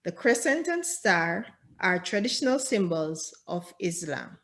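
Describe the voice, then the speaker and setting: A woman's voice: fairly low pitch, sing-song, a bit bright. A woman hosting a podcast, reading a scripted intro and then welcoming and questioning her guest. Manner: slow, deliberate reading, enthusiastic welcomes. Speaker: podcast host.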